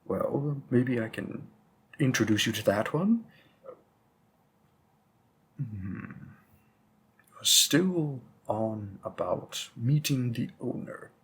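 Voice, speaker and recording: A man's voice: fairly low pitch, fairly bright tone, slight background noise, heavily acted. A man playing a patient, bookish vampire bookseller with a hint of menace, musing hesitantly in a conversational roleplay audio drama. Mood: quietly menacing. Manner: musing, hesitant, deliberate, with frequent pauses.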